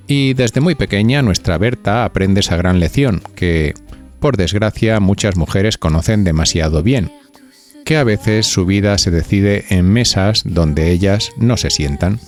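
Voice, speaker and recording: A man with a fairly low voice and an accent from Spain hosts a gossip podcast. He narrates from a script in a chatty, playful way, confiding directly in the listener. Music plays lightly behind him. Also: darkish tone. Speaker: podcast host.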